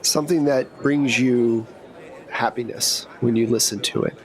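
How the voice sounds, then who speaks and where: Agent handler, played by a man for a game: breezy, blunt, casually reassuring, mid-pitched. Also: steady tone, unhurried, lightly acted, low-quality recording. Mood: teasing. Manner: casual, wry, reassuring briefing.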